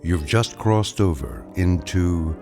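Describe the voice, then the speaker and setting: A mysterious host introducing eerie radio tales. His deep voice is solemn, measured and portentous. Music runs clearly beneath it. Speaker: series narrator. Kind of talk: dramatic scripted radio introduction.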